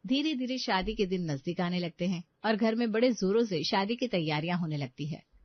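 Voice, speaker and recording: A woman's voice: mid-range voice, lively intonation, brisk, dull audio. A woman reading scripted narration for a family moral story.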